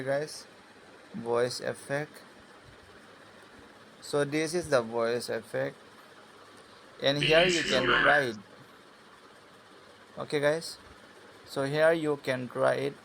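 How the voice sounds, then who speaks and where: A man giving a casual tutorial voice-over in a halting, hesitant and repetitive manner. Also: medium pitch, gravelly, fairly bright tone, a little hiss or hum, rough recording. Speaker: tutorial host.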